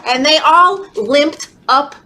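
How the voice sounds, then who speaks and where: Woman, children's librarian, high voice, slow sing-song storytelling, animated and encouraging, noisy recording. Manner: animated, encouraging, talking to toddlers. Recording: clearly noisy background.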